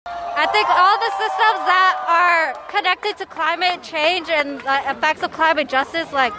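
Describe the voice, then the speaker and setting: A woman's voice: very high voice, a little slow. A young woman at a protest speaking with passion, using the word "like" often, interviewed outdoors. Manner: impassioned, lots of "like". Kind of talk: street interview. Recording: outdoor crowd and street noise.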